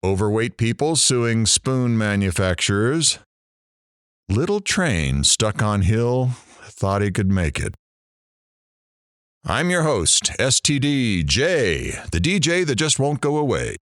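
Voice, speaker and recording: A man's voice: fairly low pitch, big pitch swings, slow pace, no room sound, studio quality. A man playing a brash, sardonic middle-aged radio talk show host in an audio drama, with showy, theatrical patter.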